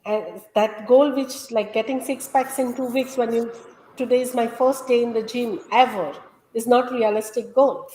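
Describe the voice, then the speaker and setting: A woman's voice: a little high, normal speed, a little hiss or hum. A woman from India, a Gujarati speaker, explains her ideas earnestly as an interview guest and health coach. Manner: earnest, explanatory, steady teaching tone. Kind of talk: conversational interview. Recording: echoey room sound.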